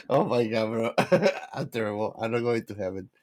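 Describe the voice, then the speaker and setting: A man co-hosting a casual chat, talking in a slangy, buddy-like way full of "bro" and "man". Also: mid-range voice. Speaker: podcast co-host.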